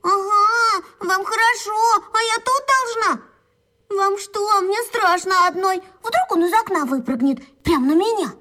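A woman's voice: extremely high pitch, unhurried, sharp tone, full character voice. An actress voicing a timid, pleading schoolgirl in a radio play. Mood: nervous. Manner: anxious, pleading. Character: timid schoolgirl, nervous and plucky.

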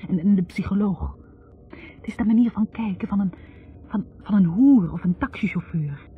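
An actress playing a wounded, bitter young academic woman confessing in a halting, accusing way in a 1960s radio drama. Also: mid-range voice, slight background noise, lightly acted. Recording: tinny narrow-band 1960s radio recording.

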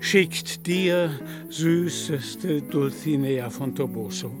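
A slow, grandiose lovesick knight. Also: male voice, on the high side, over music. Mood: lovelorn, solemn. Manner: solemn, florid, declamatory. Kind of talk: radio play acting.

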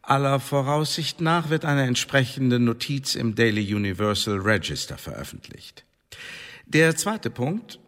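An actor playing a stern, patronising Elizabethan intelligence official, formal and bureaucratic, in a radio drama. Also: male voice, mid-range voice, lively intonation, measured pace, fairly bright tone. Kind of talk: German radio drama acting. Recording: long hall reverb.

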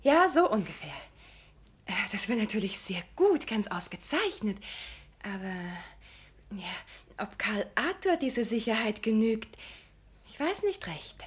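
Husky, high-voiced, sweetly pious meddler. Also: female voice, sing-song, unhurried, exaggerated voice. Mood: slyly suggestive. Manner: hesitant, over-polite, sweetly apologetic. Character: pious, fawning, slyly meddling married woman. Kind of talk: German radio drama acting.

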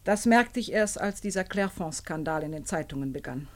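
An actress playing a guarded, unyielding wife under police questioning in a 1950s German radio drama, speaking in a controlled, formal, curt way. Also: female voice, sits low, animated, fairly quick. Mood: composed.

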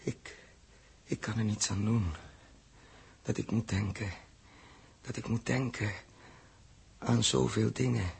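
An actor playing a tormented, guilt-ridden, feverish man in a 1960s radio drama, halting and repeating his words. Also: on the low side, steady tone, creaky, a little mellow, breathy edge, heavily acted. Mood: haunted, fearful.

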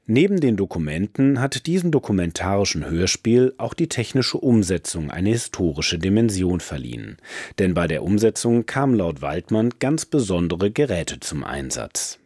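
A man's voice: low-pitched, sing-song, moderate pace, a little mellow, husky, no echo, clean studio sound. A man presenting on radio, reading a scripted introduction to a radio play.

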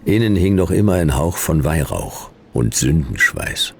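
An actor playing a world-weary, wry private detective in a futuristic radio crime drama, gravelly, laconic and deadpan in clipped sentences. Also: male voice, rumbling low, unhurried, full character voice. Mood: deadpan, sardonic. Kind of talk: noir radio drama, first-person narration and dialogue.

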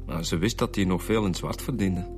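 A man's voice: low-pitched, brisk, over music, natural voice. An actor playing an eager, sceptical Flemish police detective in a radio drama, probing as he talks.

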